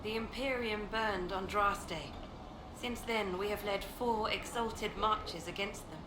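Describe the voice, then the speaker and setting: Devout, wary woman of faith, played by an actress; medium pitch, deliberate formal speech, reverberant game scene. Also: natural voice. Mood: grave, troubled. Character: devout, grave religious woman, measured and wary. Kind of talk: video game cutscene acting. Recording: echoey with background ambient noise.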